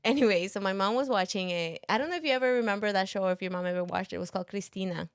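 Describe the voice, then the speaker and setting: A woman's voice: mid-range voice, racing, clear, resonant tone, no room sound, clean studio sound. A woman from Ecuador co-hosting a podcast, chatting and telling stories with frequent giggles. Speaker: podcast co-host. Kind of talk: casual podcast chat.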